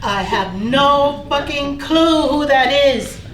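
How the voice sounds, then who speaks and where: A woman's voice: sits high, expressive, takes it slowly, a bit bright, heavily acted. A woman playing an anxious, blunt, foul-mouthed hotel housekeeper in a staged play reading. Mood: blunt scheming. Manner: blunt, sarcastic, exasperated. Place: indoor room at a staged reading. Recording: roomy, distant, noisy stage recording.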